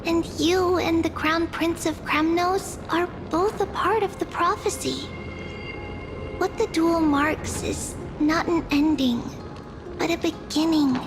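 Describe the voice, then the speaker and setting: Here is a serene video game oracle with a high voice. She speaks very slowly, in a formal, solemn manner, like a gentle guide. Music plays clearly behind her. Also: steady tone. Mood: solemn encouragement. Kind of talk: video game story dialogue.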